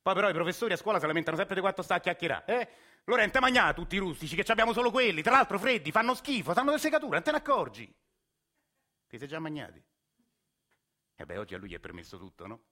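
Frazzled Roman dad. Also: male voice, high-pitched, sing-song, fast talker, pushed and bright, full character voice. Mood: exasperated. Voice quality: sarcastic, exasperated. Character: frazzled Roman family father, middle-aged man. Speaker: actor. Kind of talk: radio play monologue.

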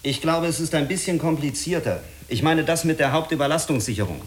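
Actor as a sober spaceship commander. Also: male voice, fairly high pitch, a little fast, slight background noise, lightly acted. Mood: reflective, insistent. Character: male spaceship commander, sober, self-critical, stern under pressure. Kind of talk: German radio drama acting.

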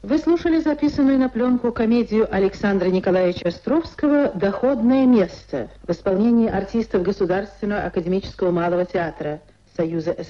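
A woman reading a formal scripted radio announcement with measured enunciation, in the old Soviet broadcast style. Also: medium pitch, mellow, highs cut off.

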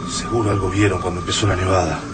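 An actor playing a troubled but resolute survivor in a radio drama, halting and tense, with an Argentine accent. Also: male voice, deep voice, flat delivery, loud music behind the voice, close to an everyday voice. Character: Juan, troubled, resolute man surviving an invasion.

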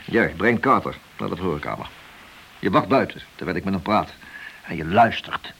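An actor playing a wily senior police detective in a radio play, leisurely and needling behind a friendly front. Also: male voice, low voice, lively intonation, normal speed, vocal fry, a bit bright, heavily acted, low-quality recording. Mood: calm, commanding. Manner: slyly affable, leisurely, needling. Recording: hissy old radio play recording.

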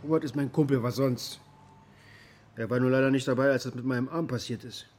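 An actor playing a bitter, middle-aged former security contractor in a naturalistic radio drama, gruff, cynical and colloquial. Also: male voice, mid-range voice, fairly even intonation, fairly quick, darkish tone, natural voice.

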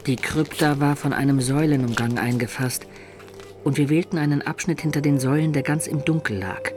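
A man narrating a dark adventure tale in the first person for a German radio play, measured, composed and literary. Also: fairly high pitch, music in the background, close to an everyday voice. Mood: tense, observant.

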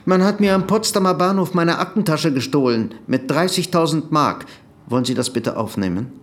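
Man acting indignant fugitive businessman, highish voice, very animated intonation, insistent, proud, radio play. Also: moderate pace, lightly acted. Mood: guarded, formal. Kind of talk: German radio drama acting.

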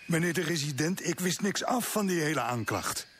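A man's voice: fairly high pitch, lively intonation, moderate pace, a bit bright, slight background noise. A man playing a deferential, anxious junior colonial official in a Dutch radio play. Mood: pleading, flustered.

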